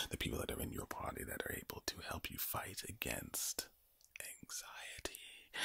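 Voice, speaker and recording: A man with an Irish accent giving soothing ASMR affirmations in a low, breathy, gravelly whisper, slowly and deliberately, repeating his phrases. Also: sharp tone, no room sound. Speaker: ASMR creator.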